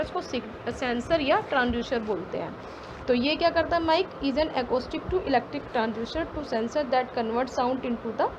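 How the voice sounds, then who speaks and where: A woman with an Indian accent giving an explanatory lesson as a teacher, reading definitions and rephrasing them step by step. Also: sits high. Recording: clearly noisy background throughout.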